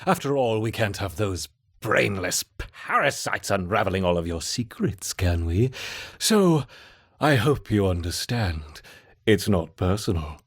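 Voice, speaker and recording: A wildly animated mad scientist from a video game. His voice is very rough and husky, with slow, theatrical delivery that breaks into frantic muttering. He sounds scheming and obsessed. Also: lowish voice, full character voice. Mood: wistful, sinister. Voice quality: raspy, husky. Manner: theatrical, muttering, exclaiming to himself. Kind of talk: video game character acting.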